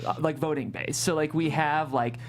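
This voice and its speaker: A woman's voice: deep voice, fairly even intonation, moderate pace, faint music behind. A woman hosting a script read, narrating stage directions and chatting with guests. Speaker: host and stage-direction reader.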